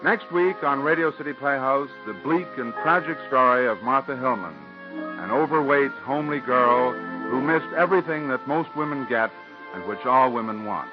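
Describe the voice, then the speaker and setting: A man's voice: mid-range voice, normal speed. Man previewing next week's radio play. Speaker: program director giving a preview. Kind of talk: scripted radio announcement. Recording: dull old radio broadcast with music.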